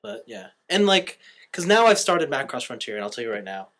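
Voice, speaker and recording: A man's voice: medium pitch, very animated, a little fast, a bit bright. A man co-hosting a podcast, chatting casually and enthusiastically with strong opinions as a fan. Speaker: podcast co-host. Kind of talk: casual fan chat about anime.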